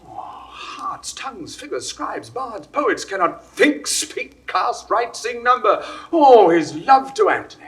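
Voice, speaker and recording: Sardonic Roman soldier, bright tenor, animated delivery. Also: male voice, high-pitched, slow pace, few pauses, heavily acted. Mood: mocking. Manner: mocking, theatrical. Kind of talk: 1960s studio radio play acting.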